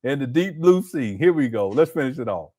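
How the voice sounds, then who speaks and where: A man's voice: medium pitch, animated, a little fast, mellow. A man commenting live on a video clip, laughing often and teasing with sarcasm. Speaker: commentator reacting to a livestream.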